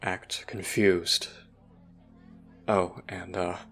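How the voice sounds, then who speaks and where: A man plays a moody small-town teenage boy in an audio roleplay. His voice is very low with a clear bright edge. He talks slowly and haltingly, in casual slang, over faint music. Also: little pitch movement, slight background noise, heavily acted. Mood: subdued, uneasy. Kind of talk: audio roleplay acting, conversational.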